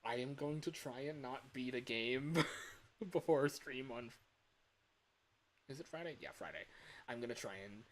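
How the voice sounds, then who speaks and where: A man with a worn-out voice chatting casually on a livestream, halting and rambling as he comments on games. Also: medium pitch. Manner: rambling, halting, breaks into chuckles.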